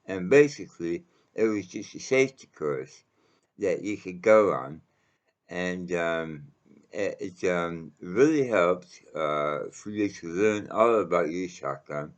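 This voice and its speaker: Very deep-voiced man, slow casual storyteller. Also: lively intonation, highs cut off. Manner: halting, rambling delivery with frequent pauses. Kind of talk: casual personal anecdote.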